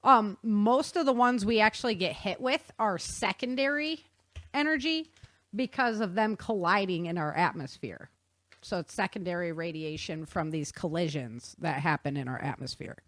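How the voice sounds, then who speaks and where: A woman hosts a podcast with casual, explanatory talk. She sounds like an informal nerdy enthusiast, with a mid-range pitch and a dry, close microphone sound. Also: lively intonation, moderate pace, a bit bright, dry recording. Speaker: podcast host.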